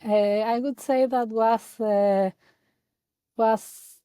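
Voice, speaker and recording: Woman from Chile, halting and soft. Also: on the high side, fairly even intonation, clear, resonant tone, no room sound. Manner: halting, many fillers and restarts. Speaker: guest, data analyst. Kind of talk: casual interview talk.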